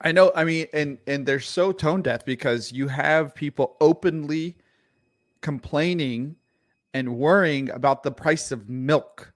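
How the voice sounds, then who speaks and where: A man's voice: a little high, measured pace, dry recording, studio quality. A man whose first language is Arabic, co-hosting a casual livestream, heated and profane, often repeating words as he rants. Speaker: podcast co-host.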